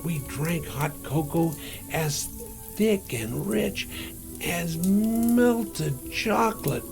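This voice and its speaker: An older man playing Santa reads to children in a gravelly, creaky voice with wide swoops of pitch. He takes his time, speaking gently and full of wonder straight to his young listeners, with music playing behind him. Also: expressive, drawn-out words. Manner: gentle, wonder-filled, addressing children directly. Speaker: Santa reading a children's story. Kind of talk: storybook read-aloud to children.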